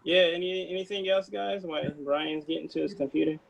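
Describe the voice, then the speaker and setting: A man's voice: fairly high pitch, fairly even intonation, brisk, creaky, lo-fi sound. A man hosting a casual video-call chat in an informal, laid-back way. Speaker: host of a table read.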